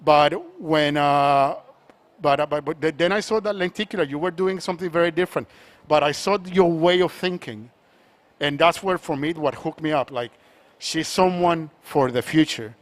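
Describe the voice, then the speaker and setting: Man, art collector, from colombia, halting, lots of restarts, slightly higher voice. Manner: halting, repeats words often, many restarts. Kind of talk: panel conversation.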